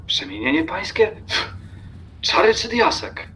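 Guy playing obsessive cranky traveller ranting in a radio play, tense highish voice, contemptuous, slight background noise. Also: very animated, unhurried, sharp tone, heavily acted. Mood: baffled, curt. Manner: emphatic, declamatory, contemptuous. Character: irritable, scornful male train passenger, obsessive lecturer. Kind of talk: audio drama acting.

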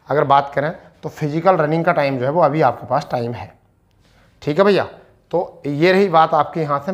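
A man in his thirties speaking as a coaching teacher, explaining informally to students and repeating key phrases for emphasis. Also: fairly high pitch. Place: indoor teaching studio in front of a display board. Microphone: lapel mic.

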